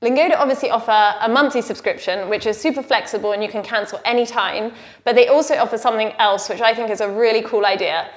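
A woman's voice: fairly high pitch, fast talker. Female host, thirties, from the UK; upbeat, chatty to-camera read at her desk at home. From United Kingdom. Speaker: vlog co-host. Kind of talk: sponsor segment to camera. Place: home office indoors.

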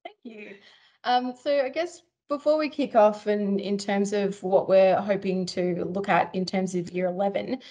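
A woman, a chemistry teacher, presenting in a webinar over a video call in a relaxed, slightly rambling way. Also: mid-range voice.

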